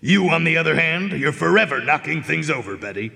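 A man's voice: fairly high pitch, lively intonation, fairly quick, vocal fry, pushed and bright, exaggerated voice. A man playing a shrewd, teasing dog detective with a knowing, hard-boiled manner in a live radio drama. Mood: wry, confident. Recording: echoey concert hall.

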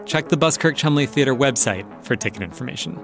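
A man's voice: medium pitch, expressive, fast talker, over loud music. A man hosting a radio show, reading scripted links and introductions.

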